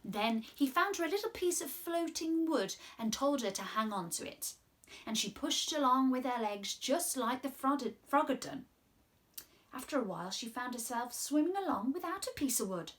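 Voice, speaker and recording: A woman in her twenties reading a story to children in an expressive, encouraging way. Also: high voice, moderate pace. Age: 20s. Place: small room at home, indoors.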